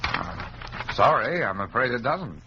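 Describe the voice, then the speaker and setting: An actor playing a puzzled, good-natured male sidekick to a detective, questioning and husky, in 1940s radio drama style. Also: mid-range voice, very animated, takes it slowly, background noise, heavily acted. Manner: bewildered, questioning. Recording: muffled old radio recording.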